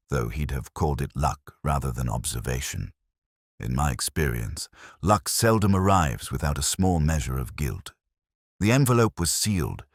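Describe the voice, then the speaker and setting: Male narrator for literary mystery fiction; very deep, expressive pitch movement, measured and reflective delivery. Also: dry recording, clean studio sound. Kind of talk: first-person scripted detective story narration.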